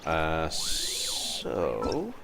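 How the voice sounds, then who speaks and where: A man's voice: rumbling low, huge pitch swings, very slow, creaky, heavy background noise. A man livestreaming a game with casual commentary, thinking aloud and muttering to himself, sometimes reading on-screen text. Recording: game music behind the voice. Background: soft video game music.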